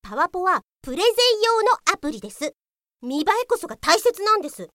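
Radio drama: scolding female detective, played by a woman, blunt, very high, lively swooping delivery. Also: fast talker, no room sound, clean studio sound, exaggerated voice. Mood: stern, exasperated. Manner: blunt, lecturing. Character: stern, scolding female Office police detective. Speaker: voice actress. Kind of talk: Japanese radio drama acting.

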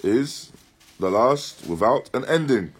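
Slightly husky man giving a religious lesson. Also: medium pitch, expressive, slow. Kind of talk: Islamic lecture explaining a text, with Arabic recitation. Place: live-streamed lesson at an Islamic centre.

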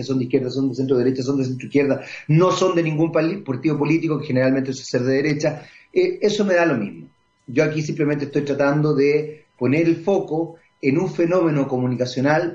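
A man's voice: mid-range voice, a little mellow. Chilean man, hesitant radio host, lo-fi sound. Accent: Chilean Spanish. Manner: hesitant, many pauses with drawn-out fillers. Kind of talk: radio talk show commentary and interview. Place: radio studio. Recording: dull lo-fi radio broadcast.

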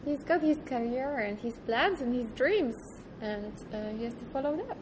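A woman's voice: on the high side, expressive, moderate pace. A young woman from Poland with a Polish accent tinged with British, chatting casually with thoughtful, rambling restarts. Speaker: guest. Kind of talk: casual chat. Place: train station. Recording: noisy train station ambience.

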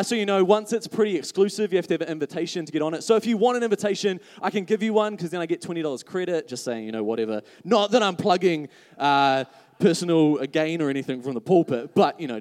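A man's voice: fairly high pitch, lively intonation, fast talker, darkish tone. A man preaching a live sermon to a congregation, chatty and full of self-mocking jokes. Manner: chatty, joking, self-mocking asides to the crowd. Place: church hall before a congregation. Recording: reverberant hall.

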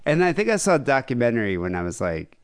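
A man's voice: medium pitch, very animated, quick pace. A man co-hosting a podcast in casual, crude, joking chat. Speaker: podcast co-host.

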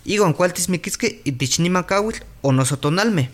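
A woman's voice: fairly low pitch, expressive, normal speed. A woman playing an instructive health worker who explains things to a group in a radio drama. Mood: explanatory. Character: instructive female health worker leading a women's talk. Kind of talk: radio drama dialogue in Nahuatl.

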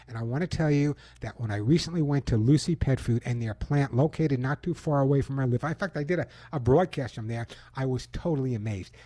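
A man's voice: mid-range voice, warm tone, no room sound. A man hosting a call-in pet radio show in a studio, giving emphatic, self-assured advice and reading ads. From Long Island, New York. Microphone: studio microphone.

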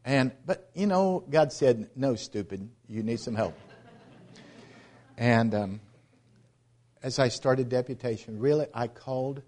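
A middle-aged man, a missionary, giving an earnest church presentation about his ministry, halting often and restarting his sentences. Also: medium pitch, lively intonation, a little mellow.

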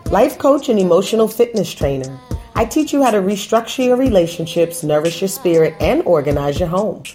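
A woman's voice: mid-range voice, darkish tone. A woman life coach reading an upbeat promo spot for the radio show. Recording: faint music behind.

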